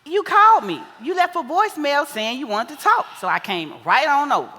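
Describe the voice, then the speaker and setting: Actress as folksy American mama, echoey hall. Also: female voice, sits high, very animated, bright, forceful tone, exaggerated voice. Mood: teasing, matter-of-fact. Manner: folksy, drawn-out emphatic phrasing. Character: older mother, folksy, wise, teasing, doting and blunt. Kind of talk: stage play acting. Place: live stage in a reverberant hall.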